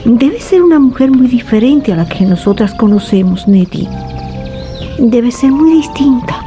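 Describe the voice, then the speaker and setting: A wistful young woman in a radio drama, with a high, mellow voice. She confides in a friend and repeats her phrases over soft background music. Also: lively intonation, measured pace. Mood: wistful, wondering.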